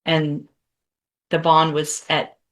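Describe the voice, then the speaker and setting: Lady judge running a hearing, voice a bit on the low side. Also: completely flat delivery, slow. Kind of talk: courtroom rulings and questions. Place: courtroom.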